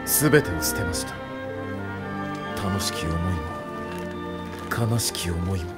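An actor playing a proud, intelligent young samurai in a Japanese game drama, speaking in a formal, measured way. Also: male voice, deep voice, expressive, over music. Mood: guarded, subdued.

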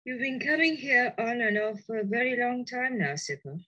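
Forlorn lady, husky, very animated pitch, sardonic, resigned, lo-fi vintage radio drama. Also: moderate pace. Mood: bitter, resigned. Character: weary, resigned lonely woman living on memories. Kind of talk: 1960s radio drama acting. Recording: dull old 1960s radio play recording.

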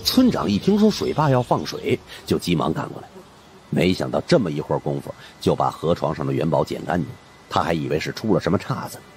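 A man with a very low voice narrates a supernatural mystery novel. His intonation is lively and varied, in the animated manner of a storyteller. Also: quick pace, slight background noise. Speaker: audiobook narrator.

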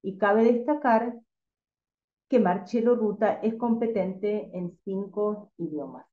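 A woman's voice: medium pitch, slow. A woman hosting a seminar, steadily reading a formal introduction of the speaker over a video call. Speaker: host. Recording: roomy, dull video call audio.

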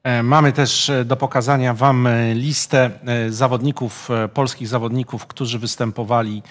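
A man's voice: mid-range voice, little pitch movement, moderate pace. A man hosting a football talk show, moderating and posing questions, in a TV studio. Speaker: host.

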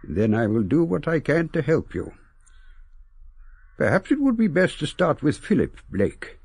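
Sentimental old gentleman, radio play: husky, expressive, eloquent, deliberate. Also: on the high side, no echo, full character voice. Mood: thoughtful. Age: older. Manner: theatrical, wistful, deliberate phrasing. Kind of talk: radio drama acting.

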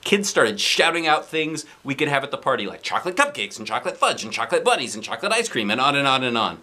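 A man in his forties reading a children's book aloud in an expressive storytelling style, with playful asides. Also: medium pitch, quick pace.

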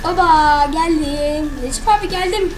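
An eager, excitable spider boy who sounds like a little kid in a toy cartoon. The voice is very high and drawn out slowly, with faint music behind it. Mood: excited. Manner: childish, excitable.

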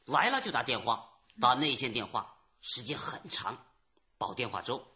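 An actor playing a colloquial security guard chiming in as a witness in a radio drama. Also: male voice, mid-range voice, very animated, normal speed, sharp tone. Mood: recalling. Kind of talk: Chinese radio drama acting. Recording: muffled band-limited radio drama audio.

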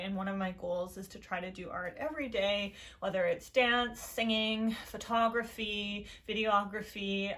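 A young woman with a low voice talks straight to her camera in a cluttered, colorful room. Her tone is earnest and encouraging, and her thoughts wander as she goes. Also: fairly bright tone. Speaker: YouTube creator. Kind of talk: casual talk to camera. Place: decorated bedroom indoors.

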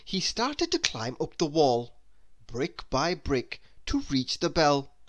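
A man reading a children's story aloud as narrator, in an expressive, deliberate way. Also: a little high. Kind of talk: scripted narration for young children.

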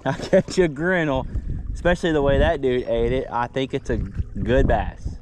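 A man, chatting casually and coaching his young son while bass fishing from a boat. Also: normal speed, darkish tone. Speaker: fishing channel host. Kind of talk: casual outdoor vlog talk. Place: outdoors on a bass boat on a river. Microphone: action camera on the boat. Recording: outdoor camera audio with clear wind and water noise.

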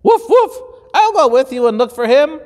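Man doing squeaky storybook farm animals. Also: sing-song, moderate pace, darkish tone. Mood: eager, helpful. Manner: squeaky animal noises and chirpy talk. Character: eager, helpful cartoonish farm animals: dog, chicken, duck. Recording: long hall reverb.

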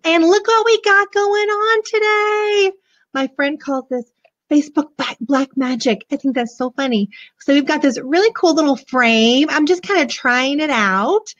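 A bubbly woman in her forties talks in a hoarse, high voice while chatting with her live viewers about a children's book. The webcam audio is dull and lo-fi. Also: measured pace, a bit bright. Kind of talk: casual live book show-and-tell. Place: small room indoors, decorated backdrop. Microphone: webcam at desk.

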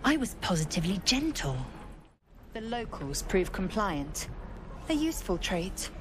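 A woman's voice: medium pitch, very animated, unhurried, background noise. A woman voicing a haughty, fierce alien warrior in a video game, speaking in clipped, imperious, formal phrases. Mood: smug.

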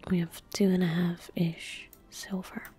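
A young woman gaming streamer in soft-spoken ASMR style, murmuring to herself in a breathy whisper at a close microphone. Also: lowish voice, little pitch movement, faint music behind. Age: young adult. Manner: unhurried, murmuring to herself as she plays. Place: indoor room at a gaming setup. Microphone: close desk microphone.

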